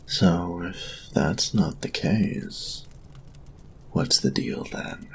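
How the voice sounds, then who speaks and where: Man acting very deep, gravelly, cocky flirty bully. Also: a little hiss or hum, exaggerated voice. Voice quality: breathy, gravelly low voice. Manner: slow smug drawl, taunting. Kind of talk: intimate roleplay audio acting.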